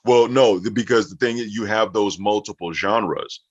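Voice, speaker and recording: A man with a very low voice talks in an interview as a voice actor. He explains things conversationally and emphatically, repeating points for stress. The recording sounds somewhat muffled and dull. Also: normal speed. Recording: echoey room, dull muffled audio.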